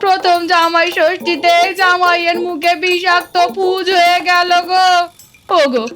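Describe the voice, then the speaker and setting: A woman's voice: very high voice, flat delivery, a little slow, pushed and bright, faint music behind, heavily acted. A woman playing a hysterical, melodramatic mother-in-law who wails in a comedy audio drama. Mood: wailing distress.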